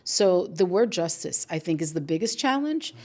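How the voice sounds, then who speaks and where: A middle-aged woman, talking thoughtfully and deliberately in a conversational interview, stressing key words. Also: lowish voice, sing-song, darkish tone. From New York City. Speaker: podcast host and activist being interviewed.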